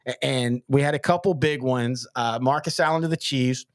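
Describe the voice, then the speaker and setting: A man's voice: mid-range voice, normal speed, no echo, studio quality. A man from East Texas, hosting a casual sports podcast, rambling with stammered restarts. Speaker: podcast host.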